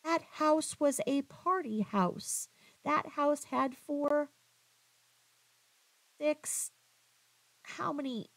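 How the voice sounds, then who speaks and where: A woman giving critical reaction commentary in a pointed, halting, questioning manner. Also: high-pitched, low-quality recording. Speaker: commentary vlogger.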